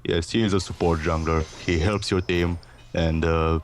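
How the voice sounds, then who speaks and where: A man's voice: low-pitched, steady tone, moderate pace, slight background noise. A man co-commentating a video game casually, with a dry, sarcastic way of talking. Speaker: gaming co-commentator.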